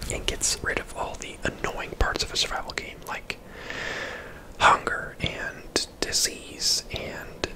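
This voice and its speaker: A man giving casual, unhurried ASMR game commentary in a conversational whisper as he plays. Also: very high voice, poor audio. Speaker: ASMR gaming host.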